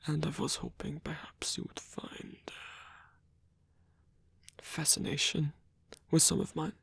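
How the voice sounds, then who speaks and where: Man voicing a whispery, halting old vampire. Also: fairly high pitch, level tone, no room sound, full character voice. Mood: shy, inviting. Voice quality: soft, breathy, whispery, creaky. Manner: halting, hesitant, musing. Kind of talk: ASMR roleplay audio addressed to the listener.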